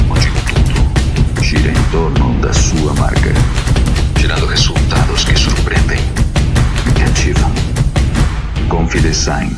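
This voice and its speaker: A man delivers a dramatic ad read in a strikingly deep, booming voice. He speaks slowly and emphatically, with little rise and fall. Loud music plays behind him. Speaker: advertising voice-over.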